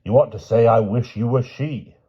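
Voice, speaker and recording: A man's voice: medium pitch, moderate pace, slightly rough, dull audio, voice pushed up. A man voicing a bluff, pedantic knight who talks in a hearty, matter-of-fact way. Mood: chiding. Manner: hearty, chiding "old boy", matter-of-fact.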